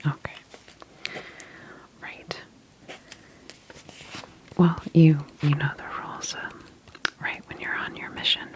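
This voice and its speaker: A woman whispering softly and breathily in an ASMR roleplay, playing a friendly, reassuring medical examiner with a gentle, unhurried manner. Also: deep voice, flat delivery, poor audio. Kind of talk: ASMR personal-attention roleplay.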